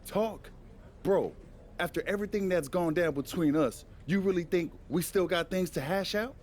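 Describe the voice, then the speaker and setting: A man's voice: high voice, moderate pace, a little mellow, slight background noise, close to an everyday voice. A man playing a guarded older-brother wrestler, speaking blunt American slang in a video game cutscene. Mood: irritated. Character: gruff, guarded big-brother wrestler with a grudge.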